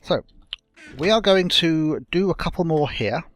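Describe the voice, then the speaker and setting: A young man hosting a casual gameplay video, chatting and rambling through his progress with a slightly croaky voice. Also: a little high, lively intonation, unhurried, no room sound, studio quality, faint music behind. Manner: rambling, self-correcting, chatty. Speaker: let's play host.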